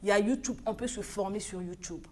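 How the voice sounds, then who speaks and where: A woman's voice: medium pitch, brisk. A woman in her thirties from the Republic of the Congo, speaking as a studio guest on a TV news program in an earnest, exhorting way with hesitant restarts. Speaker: studio guest, African Union official.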